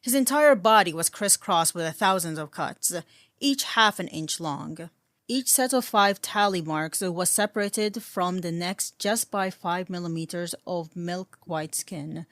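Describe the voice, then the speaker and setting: A woman's voice: fairly low pitch, a bit bright, dry recording, studio quality. A woman reading fan fiction aloud as a narrator, in a scripted storytelling style.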